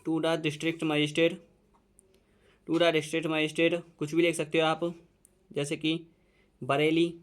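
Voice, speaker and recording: Indian male teacher, very slow dictation, flat and even delivery, smooth clear voice, repeats every phrase. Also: a little high. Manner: mixes Hindi explanation with dictated English. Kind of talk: dictating a letter to students.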